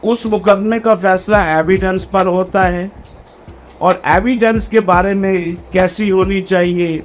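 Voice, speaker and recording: A man lecturing on law for exam students, explaining deliberately and spelling out key phrases word by word, with English legal terms mixed in. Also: sits high, fairly even intonation, soft background music.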